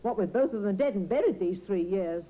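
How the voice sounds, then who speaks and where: A woman's voice: medium pitch, animated, brisk, close to an everyday voice. A woman playing a fussing, stubborn, protective middle-aged mother in a 1950s radio drama. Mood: firm, stubborn. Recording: dull old radio recording.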